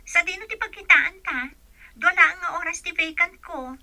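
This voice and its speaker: A woman's voice: very high voice, moderate pace, bright, forceful tone, no room sound, exaggerated voice. A woman playing a helpful, teasing young college student in an audio drama.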